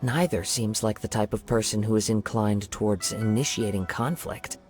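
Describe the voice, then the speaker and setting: A man voicing a calm young wandering samurai in a video game, speaking in a measured, thoughtful way. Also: fairly low pitch, soft background music, close to an everyday voice. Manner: measured, thoughtful and soft-spoken. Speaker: investigating hero.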